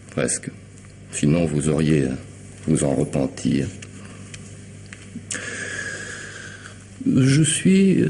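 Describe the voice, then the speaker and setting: A deep-voiced, menacing, polite killer. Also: male voice, warm tone, full character voice. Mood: menacing, ironic. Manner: deliberate, sardonic, commanding, theatrical pauses. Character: menacing, coolly polite, intelligent male hired killer. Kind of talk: French radio mystery drama acting. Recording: noisy old radio drama recording.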